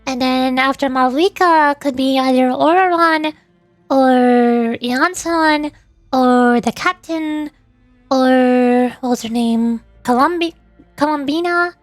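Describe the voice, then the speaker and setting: High-voiced woman, casual game streamer. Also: takes it slowly, no room sound, soft background music. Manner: rambling, chatting to viewers. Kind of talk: casual livestream commentary.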